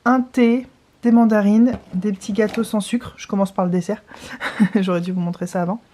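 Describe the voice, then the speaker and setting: A woman's voice: mid-range voice, steady tone, moderate pace, warm tone, a little hiss or hum. A young woman vlogging in casual chat to camera, chatty and rambling, with many fillers and restarts. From France.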